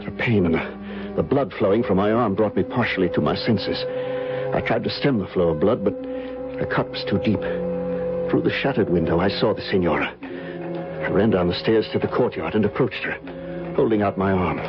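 An actor playing a distraught, injured young man in love in an old-time radio drama, speaking intensely and haltingly. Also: deep voice, natural voice. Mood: grim, intense. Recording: dull vintage radio recording with music.

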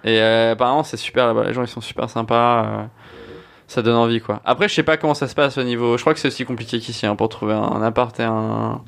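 Podcast host, man, average pitch, enthusiastic casual talker. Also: quick pace, gravelly, clean tone. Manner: lively, enthusiastic, slangy. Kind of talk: casual chat.

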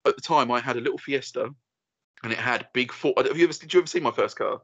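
A man's voice: medium pitch, fast, fairly bright tone, breathy edge, no echo. A young man co-hosting a podcast, telling rambling, sweary stories and laughing often. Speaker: podcast co-host. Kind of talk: casual banter. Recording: dull lo-fi dry sound.

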